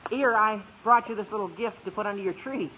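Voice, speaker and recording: An actor playing a gawky, eager teenage schoolboy with a squeaky, gushing delivery in a vintage radio sitcom. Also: male voice, quick pace, close to an everyday voice. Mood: eager, bashful. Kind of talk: 1950s radio sitcom acting. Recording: tinny, hissy old radio recording.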